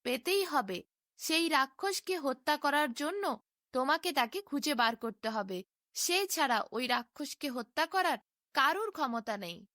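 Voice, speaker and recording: Woman voicing a stern holy hermit, high and bright. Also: lively intonation, measured pace, no room sound, studio quality, full character voice. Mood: commanding. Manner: admonishing, commanding. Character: stern holy male hermit appearing in dreams.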